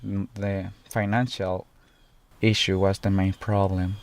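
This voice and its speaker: A young man of nineteen speaks slowly and earnestly. His voice sits low and stays almost level, rising and falling very little. He pauses often in the middle of his thoughts. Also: level tone. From El Salvador. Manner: halting, earnest, pauses often mid-sentence. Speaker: student. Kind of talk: personal testimony in a documentary video.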